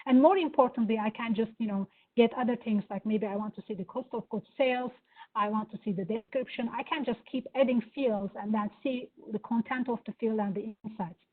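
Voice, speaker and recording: A woman with a Turkish accent giving an enthusiastic live software demo, with many fillers. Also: fairly high pitch, a little fast. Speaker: presenter. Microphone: video call. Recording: phone-like online meeting audio.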